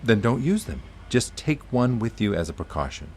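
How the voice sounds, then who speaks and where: An actor playing an earnest, faithful ally who speaks persuasively in an audio drama. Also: male voice, mid-range voice, big pitch swings, moderate pace, a little hiss or hum, lightly acted. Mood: earnest, grave.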